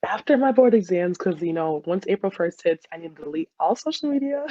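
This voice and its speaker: A woman's voice: lowish voice, brisk, no room sound, dull audio. A woman in her twenties with an American accent, chatting casually as an interview guest in a giggly, storytelling way. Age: 20s. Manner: giggly, animated storytelling with quoted dialogue. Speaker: podcast guest, soccer player.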